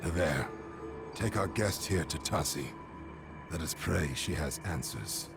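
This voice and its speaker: An actor playing a stern, grave barbarian chieftain in a fantasy game, commanding and formal, with a gravelly voice. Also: male voice, very deep voice, expressive, slow, heavily acted. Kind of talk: fantasy video game acting. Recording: loud music under the voice.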